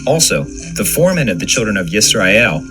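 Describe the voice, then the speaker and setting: A man's voice: fairly low pitch, sing-song, brisk. A man narrating a dramatized scripture reading from a script. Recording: music under the voice.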